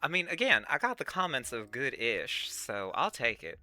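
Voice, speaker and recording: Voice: medium pitch, sing-song, moderate pace, pushed and bright, soft background music. A livestream host chatting casually with viewers in a put-on Southern US accent, a folksy Southern Belle drawl full of sugary terms of address. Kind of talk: casual livestream chat reading and answering viewers' comments.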